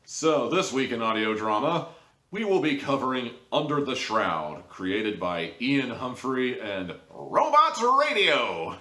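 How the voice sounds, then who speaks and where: A man's voice: medium pitch, sing-song, slow pace, sharp tone. A man talking casually as a reviewer, chatty and informal, with emphatic asides. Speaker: host and reviewer of audio dramas. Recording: roomy, echoey sound.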